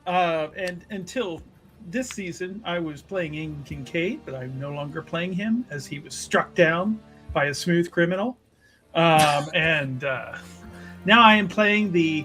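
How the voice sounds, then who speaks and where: A man's voice: on the high side, a little slow, sharp tone, soft background music. A man playing a tabletop roleplaying game, chatting casually with playful, wry emphasis. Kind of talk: casual character introduction and banter.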